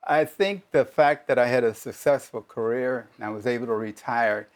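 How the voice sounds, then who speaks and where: A man's voice: medium pitch, normal speed. A man in his seventies, a plain-spoken interview guest sharing personal experience. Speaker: retired volunteer tutor, interview guest.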